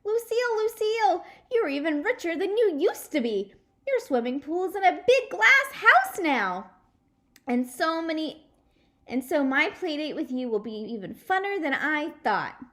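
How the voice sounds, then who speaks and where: A woman voicing an excitable, gushing little schoolgirl who exclaims and repeats herself in a storybook. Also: high-pitched, a little slow, pitched above the performer's own voice, exaggerated voice. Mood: gushing excitement. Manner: exclaiming, repetitive.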